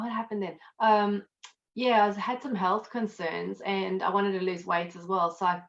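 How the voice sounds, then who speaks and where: A woman with a South African accent, chatting casually as an interview guest, self-deprecating and quick to laugh. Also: medium pitch, highs cut off. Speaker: guest, accountant and network marketer.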